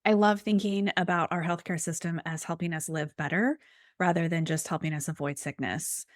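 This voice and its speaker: Croaky-voiced woman podcast host. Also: lowish voice, steady tone, moderate pace, no echo, clean studio sound. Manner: chatty, enthusiastic, with many fillers. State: slightly croaky from a chest cold. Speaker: podcast host, health and weight loss coach. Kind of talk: podcast interview.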